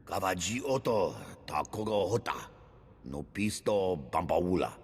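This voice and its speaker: A gruff alien prisoner from a video game, very low and widely swinging in pitch. He talks in emphatic, guttural bursts of invented language. Long reverb trails every phrase, with some music behind. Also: lively intonation, measured pace, full character voice. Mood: grave. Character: gruff male alien prisoner speaking an alien tongue. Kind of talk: video game cutscene acting.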